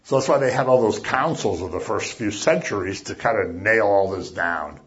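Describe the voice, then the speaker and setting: A man preaching a sermon as a pastor, chatty and animated, acting out little voices as he talks. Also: lowish voice, moderate pace, lo-fi sound. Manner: conversational, animated, acts out voices.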